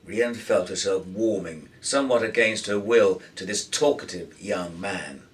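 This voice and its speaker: A man's voice: on the low side, measured pace. Man narrating a story, distant from mic. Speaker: actor reading a novel aloud.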